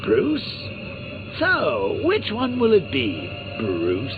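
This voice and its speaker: An actor playing a gleeful, taunting male clown villain in a video game, mocking and theatrical, dropping to whispers and rising to shouts. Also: a little high, huge pitch swings, very slow, heavily acted. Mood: showman. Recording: tinny phone-like sound over music. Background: game music.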